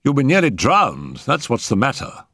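A man's voice: a little high, big pitch swings, moderate pace, fairly bright tone, no echo, clean studio sound, pitched above the performer's own voice, heavily acted. A man voicing a grim, practical male doctor in a storybook, speaking in an abrupt, clipped way.

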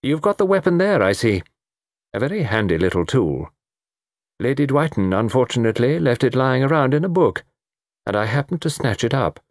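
A man voicing a suave young foreign gentleman in an audiobook, theatrical, easy and mockingly defiant. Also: medium pitch, very animated, normal speed, no room sound, clean studio sound, pitched above the performer's own voice, close to an everyday voice. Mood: airy nonchalance. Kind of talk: audiobook character dialogue.

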